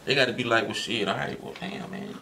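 A man's voice: medium pitch, quick pace, gravelly, pushed and bright. A young man chatting casually in a small studio, laid-back and agreeable with streetwise slang. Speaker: host. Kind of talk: casual conversation. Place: small studio room with purple lighting. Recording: noisy background hiss.

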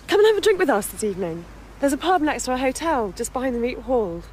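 A woman's voice: high-pitched, very animated, fast, a little mellow, a little hiss or hum. An actress playing an eager young British woman on a film crew, in naturalistic radio drama dialogue. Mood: eager, pleading. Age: young adult.

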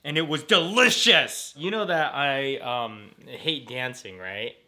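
An American man chatting casually as a vlogger, in an informal, animated and jokey way. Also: medium pitch, slow pace. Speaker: vlogger, host. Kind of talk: casual vlog chat.

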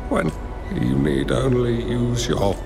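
An actor playing a wise old hermit master in a game cutscene, speaking in a measured, grave way. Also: male voice, mid-range voice, animated, creaky, heavily acted. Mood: solemn. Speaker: mentor character. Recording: roomy reverb under game music.

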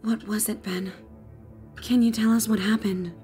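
A woman playing a guarded, cynical female agent in an audiobook, with a cool, hard-edged and often hushed delivery. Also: medium pitch, fairly even intonation, unhurried, faint music behind, close to an everyday voice. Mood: urgent, questioning. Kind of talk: dramatised audiobook acting.

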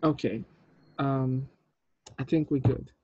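A man in his thirties leading an informal meeting as a film director, giving halting instructions over a video call. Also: medium pitch, fairly even intonation, dark tone, slight background noise. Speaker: short film director leading a table read. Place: indoor room, on a video call. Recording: dull, muffled video call audio.

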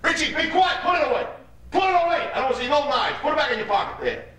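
An actor playing a menacing, mocking street gang leader in a theatrical monologue, badgering and repeating himself. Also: male voice, on the high side, animated, fairly quick, close to an everyday voice. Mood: furious, shouting. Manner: badgering, repetitive, punctuates lines with "huh?". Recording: echoey room, distant mic, noisy.

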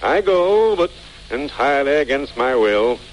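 Fussy, swooping gentleman sidekick. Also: medium pitch, sing-song, a little slow, rough recording. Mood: arch, huffy. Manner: theatrical, arch. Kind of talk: 1940s radio acting. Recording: vintage 1940s radio recording, faint noise.

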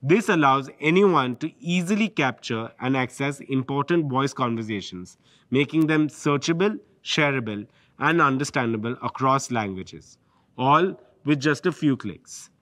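A man's voice: medium pitch, animated, unhurried, a bit bright, no echo. A man with an Indian English accent giving a polished, scripted product presentation from behind a lectern. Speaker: corporate presenter. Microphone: lectern microphone.

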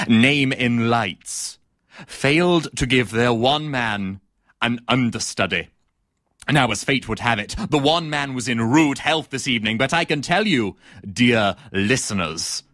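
A man's voice: medium pitch, pushed and bright, no room sound, clean studio sound. A man playing a haughty, embittered female theatre critic in a pompous, melodramatic comic stage soliloquy. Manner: pompous, precisely worded, melodramatic. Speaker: actor performing a comic monologue.

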